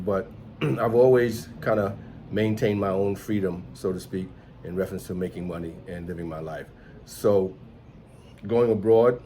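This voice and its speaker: Deep-voiced middle-aged American man. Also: a little mellow, a little hiss or hum. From United States, New York City. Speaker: host, entrepreneur and musician. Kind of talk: casual conversational vlog chat.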